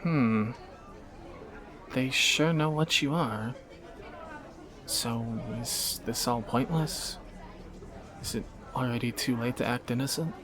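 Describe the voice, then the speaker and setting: A man's voice: medium pitch, slow, long pauses, a crowd in the background, close to an everyday voice. A man acting a wry, bantering young thief. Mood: tense, hushed. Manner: teasing, sardonic, conversational banter. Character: young male thief, bantering, wry, anxious but affectionate captor. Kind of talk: scripted romantic audio roleplay, spoken to the listener.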